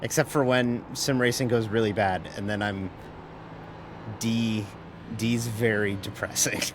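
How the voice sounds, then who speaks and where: A man's voice: lowish voice, steady tone, a little slow, noisy background. A man streaming a sim race, chatting casually in a rambling, self-mocking way, full of fillers and casual swearing. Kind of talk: casual live-stream chat while racing.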